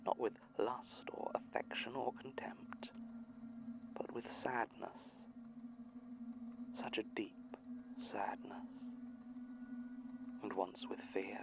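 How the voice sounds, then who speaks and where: A man voicing a disturbed, pleading statement-giver in a whispery, halting horror audio drama monologue. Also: lowish voice, creaky, highs cut off, pitched below the performer's own voice. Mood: haunted, wounded. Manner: whispery, halting, repeating words.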